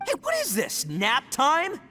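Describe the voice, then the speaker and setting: A brash young oni gang leader, high-pitched for a guy and swooping all over. The voice is bright, boisterous and slangy, in anime game dub style. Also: wildly swooping pitch, measured pace, full character voice. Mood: curious.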